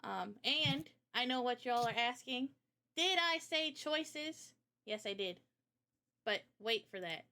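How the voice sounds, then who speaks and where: Young woman chatting on stream about her project, kinda high and really bright, upbeat and informal, bit of background noise. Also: expressive, takes it slowly. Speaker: game developer and illustrator presenting a project. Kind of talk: casual livestream Q&A presentation.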